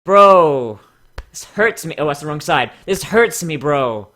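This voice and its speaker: Bright-voiced man doing crude mocking commentary. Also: fairly high pitch, expressive, takes it slowly. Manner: crude, swearing, exclamatory. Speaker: host reading fan characters aloud. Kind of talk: casual mocking commentary with dramatic readings.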